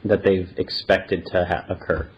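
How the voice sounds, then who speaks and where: A man with a fairly low voice hosts a casual interview, forming his questions as he talks. The sound is somewhat dull and lo-fi. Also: steady tone, normal speed, slightly rough. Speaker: podcast host asking questions. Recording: dull, band-limited audio.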